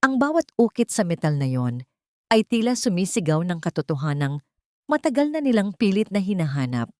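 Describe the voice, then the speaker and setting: A woman's voice: fairly low pitch, sing-song, brisk, warm tone, no echo, studio quality. A woman with a Filipino accent reads a scripted dramatic story as a storyteller.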